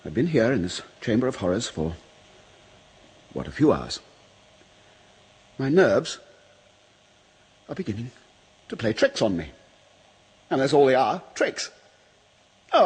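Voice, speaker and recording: An actor playing a frightened freelance writer in a radio horror drama, husky-voiced and agitated, swinging between muttering and shouting. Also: male voice, medium pitch, sing-song, measured pace, long pauses, exaggerated voice, rough recording. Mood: mounting terror. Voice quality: husky, breathy. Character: frightened male freelance writer, jittery, unravelling overnight among waxworks. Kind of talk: old-time radio horror drama acting.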